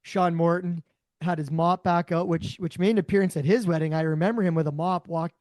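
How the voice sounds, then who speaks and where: Raspy guy co-hosting a podcast, kinda high voice, dry mic, rambly. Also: steady tone, brisk, no room sound. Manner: rambling, warm storytelling. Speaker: podcast co-host. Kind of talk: casual chat.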